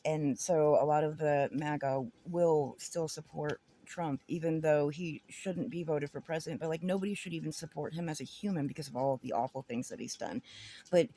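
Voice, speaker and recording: A woman's voice: deep voice, mellow, no room sound. A woman talking casually and bluntly in an informal, rambling way, sharing her opinions. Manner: blunt, informal, giggles often between phrases. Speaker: vlogger giving opinions.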